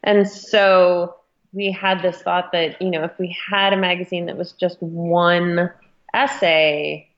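Female interview guest, editor type, lowish pitch, conversational and hesitant, slightly muffled lo-fi audio. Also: fairly even intonation, slow.

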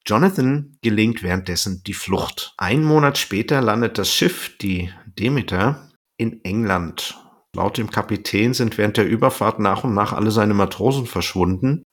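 Low-voiced man co-hosting a podcast. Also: moderate pace, husky. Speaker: podcast co-host. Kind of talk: casual chat and plot retelling.

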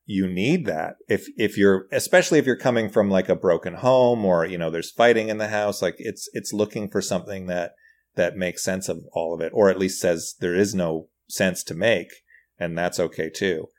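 A man chatting casually on a podcast as a writer and comedian guest, animated and self-deprecating. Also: deep voice, moderate pace.

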